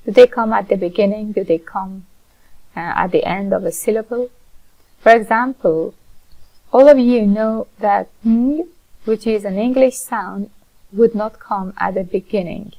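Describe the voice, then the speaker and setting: Female lecturer, Persian accent, gravelly fry, unhurried explanatory delivery. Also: mid-range voice, dark tone, low-quality recording. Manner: measured, explanatory, frequent uh fillers. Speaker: linguistics lecturer. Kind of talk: recorded online lecture.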